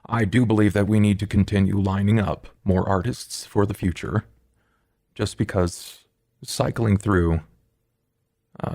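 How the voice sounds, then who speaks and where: A man playing a careful, polite vampire accountant in a tabletop game, speaking formally and in measured, wordy phrases. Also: low voice, vocal fry, a little mellow, dry recording, studio quality, exaggerated voice.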